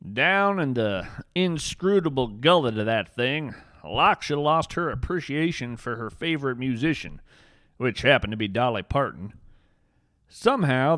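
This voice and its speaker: A man's voice: mid-range voice, fairly bright tone, no room sound, clean studio sound. A man playing an earnest, folksy naturalist who narrates scripted fiction podcast tales in a dramatic, wryly whimsical, literary way. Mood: grave, wry.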